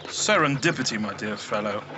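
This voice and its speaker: A man acting a gentlemanly, cerebral Victorian detective with a formal, precise, courtly manner in a radio drama. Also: fairly low pitch, animated, moderate pace, highs cut off, very noisy, close to an everyday voice. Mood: proud, intrigued.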